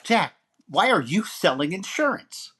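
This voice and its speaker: Radio variety host, played by a man, wisecracking and theatrical, very lively intonation. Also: high voice, unhurried, husky, heavily acted. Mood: incredulous. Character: wisecracking male comedian hosting a radio variety show. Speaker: radio show host and comedian. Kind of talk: 1940s radio comedy recreation.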